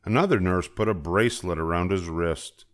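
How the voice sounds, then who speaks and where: An older man narrating a children's story aloud in an expressive, deliberate storytelling manner. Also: deep voice. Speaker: storybook reader.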